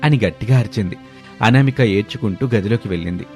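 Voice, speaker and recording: A man's voice: lowish voice, quick pace, a little mellow, faint music behind. A man narrating a scripted moral family story as a storyteller.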